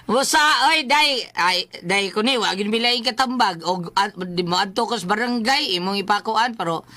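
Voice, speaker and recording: A person with a Cebuano accent speaking as an animated co-host in a casual, joking advice chat, repeating phrases for emphasis. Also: medium pitch, normal speed.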